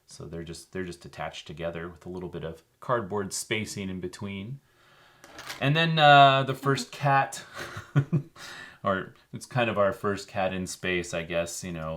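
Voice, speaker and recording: A man's voice: low voice, unhurried, a bit bright. A man co-hosting a children's show, casually explaining how things are made, with many fillers. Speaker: co-host.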